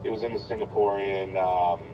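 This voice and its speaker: A man talking casually and haltingly as a livestream co-host. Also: steady tone, highs cut off. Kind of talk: casual chat. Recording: distant voice, clear vehicle rumble.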